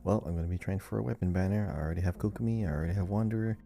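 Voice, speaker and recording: Guy w really dark soft low voice, chatting on a gaming podcast, faint music behind. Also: very fast, creaky. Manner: reads out listener messages with chatty asides. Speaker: podcast co-host. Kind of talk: casual gaming podcast chat.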